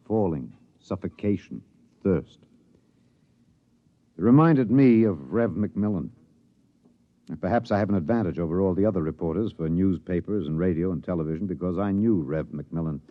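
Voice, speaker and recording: Guy doing solemn old radio reporter narration, lowish voice, dry muffled sound. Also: dry recording, close to an everyday voice. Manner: solemn, dramatic. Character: earnest, somber male radio news reporter narrating. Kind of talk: 1950s radio drama narration. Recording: dull 1950s radio recording.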